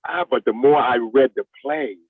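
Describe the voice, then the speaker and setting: A man's voice: medium pitch, moderate pace. A man who directs and acts for the stage, talking casually and enthusiastically in an interview, repeating phrases for emphasis. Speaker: stage play director and actor. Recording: thin phone-like call audio.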